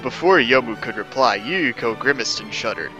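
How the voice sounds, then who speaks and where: Bright-voiced man reading a story dramatically. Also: mid-range voice, expressive, normal speed, highs cut off, faint music behind. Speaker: narrator of a fan fiction reading. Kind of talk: mock-serious dramatic reading of comic fan fiction.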